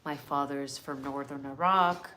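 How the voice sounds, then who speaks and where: A woman's voice: very deep voice, steady tone, moderate pace, bright, forceful tone, slight background noise. A middle-aged woman from North America hosting a casual live wellness Q&A, chatty and earnest as she reads out viewer questions. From born in Canada, grew up in California. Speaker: wellness livestream host.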